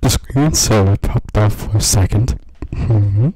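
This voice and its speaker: A man's voice: lowish voice, unhurried, dark tone, husky, low-quality recording. A young man doing a joking parody of ASMR in his bedroom, laughing and swearing into a handheld mic held close. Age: young adult. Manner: jokey, laughs often, swears. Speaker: creator of his own channel. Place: small bedroom. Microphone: handheld condenser mic held close, headphones.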